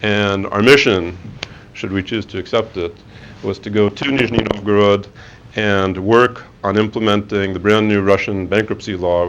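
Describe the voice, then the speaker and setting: A man's voice: low voice, highs cut off, noisy background. A man in his forties giving a conversational career talk to students, with many fillers and restarts. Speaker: guest speaker. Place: lecture room.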